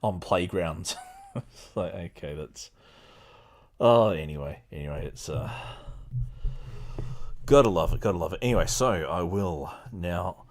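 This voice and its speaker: Animated man, slightly husky, lower-pitched. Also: a little slow, pauses often. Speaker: podcast host. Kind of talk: casual livestream chat and reading a book aloud.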